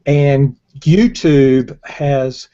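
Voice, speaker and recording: An older man on an online panel, speaking in a hesitant, halting way over a video call. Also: medium pitch, warm tone. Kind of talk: panel discussion. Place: indoors against a dark backdrop. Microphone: earphone headset on video call. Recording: dull, lo-fi video call audio.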